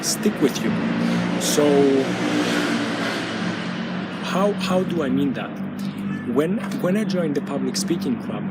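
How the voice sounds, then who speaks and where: A man talks straight to his audience in an earnest, measured way, pausing often and filling gaps with small hesitations. His voice sits at an ordinary middle pitch. He is recorded outdoors, with loud car traffic rumbling close behind him. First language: Greek. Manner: earnest and measured, with frequent pauses and fillers. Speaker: channel host, stuttering coach. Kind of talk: motivational talk to camera.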